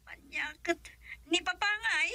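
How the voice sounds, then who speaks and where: Pleading young Filipino wife, radio drama: extremely high, piercing, urgent. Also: female voice, measured pace, pushed and bright, heavily acted. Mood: worried. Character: anxious young wife pleading with her father.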